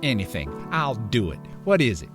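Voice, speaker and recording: A man's voice: mid-range voice, sing-song, normal speed, over music, natural voice. A man playing a devoted, reformed husband in an audio drama, speaking sincerely and tenderly. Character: devoted, reformed-drinker husband, gently scheming.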